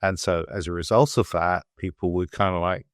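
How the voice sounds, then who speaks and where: A middle-aged British man with a very low, deep voice hosts a podcast conversation. He speaks softly and hesitantly, often restarting his sentences. The sound is dry and close to the mic. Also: steady tone, fairly quick, no echo. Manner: soft-spoken, hesitant, many fillers and restarts. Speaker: podcast host. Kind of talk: casual interview chat.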